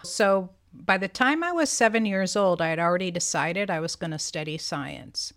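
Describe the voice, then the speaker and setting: Lively older woman telling stories and laughing. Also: fairly low pitch, normal speed. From United States. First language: Greek. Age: 70s. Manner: animated storyteller, laughs often, acts out quoted voices. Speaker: podcast co-host. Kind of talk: casual conversation.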